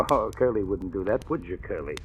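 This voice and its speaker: An American actor playing a mocking, menacing gangster gunman in a 1940s radio crime drama, with a gravelly voice. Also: low-pitched, lively intonation, fairly quick. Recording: dull old radio recording.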